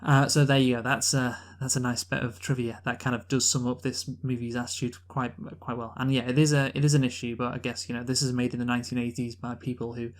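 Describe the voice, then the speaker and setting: A man's voice: mid-range voice, little pitch movement, fast, hardly any pauses. A man co-hosting a casual, unscripted film review talk, giving emphatic opinions and repeating his points. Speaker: podcast co-host reviewing films.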